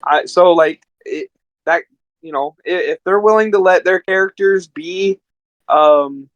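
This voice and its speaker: A man's voice: sits high, unhurried, no echo, muffled recording. A man chatting casually as a podcast guest, telling stories in a rambling, enthusiastic way. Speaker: podcast guest and amateur voice actor. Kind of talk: casual chat.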